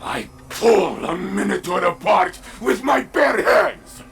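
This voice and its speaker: An actor playing a grave, troubled robotic warrior in a video game, gravelly, with a halting, weighty, dramatic delivery. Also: male voice, sits high, lively intonation, fairly bright tone, faint music behind, exaggerated voice. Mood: anguished. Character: grave, troubled male robotic warrior searching his lost past.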